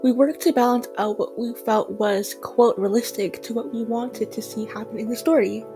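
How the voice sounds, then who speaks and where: A woman's voice: on the high side, fairly even intonation, normal speed. A young woman reading scripted narration as the student narrator of an audio drama. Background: music behind the voice.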